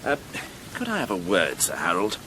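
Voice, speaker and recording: A world-weary senior police inspector in a radio crime drama. His voice is fairly low and swings expressively as he gives dry, formal authority. There is some faint noise behind him. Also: wildly swooping pitch, moderate pace, sharp tone, full character voice, rough recording. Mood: brisk, official. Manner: dry, formal, wryly understated.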